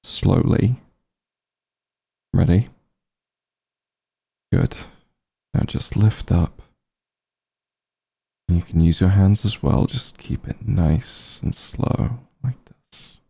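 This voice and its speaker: Man playing a very dark, deep-voiced gentle doctor. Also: full character voice. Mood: gentle, careful. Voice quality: husky, low, gravelly. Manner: slow, soothing, reassuring. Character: gentle, concerned back-room doctor, an old friend. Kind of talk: ASMR roleplay, soft intimate acting.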